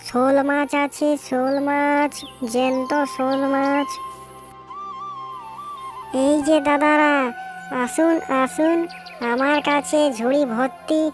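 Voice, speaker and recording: Casting: woman as humble cartoon mother, high voice, earnest street-seller manner, music underneath. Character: poor village mother selling fish, kindly and eager. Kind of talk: animated moral story acting.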